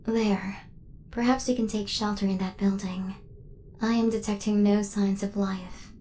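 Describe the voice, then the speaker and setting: A woman playing a devoted, protective female hunter robot in a soft-spoken ASMR roleplay, with formal, precise, machine-like phrasing. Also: mid-range voice, steady tone, a little slow, slight background noise, natural voice. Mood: gentle, attentive.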